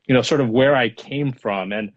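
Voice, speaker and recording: Man in his 30s, Spanish his first language, thoughtful and hedging guest, mid pitch, dull audio. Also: moderate pace. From Los Angeles. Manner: reflective, hedging with many fillers. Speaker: guest speaker, lawyer. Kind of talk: casual interview answers.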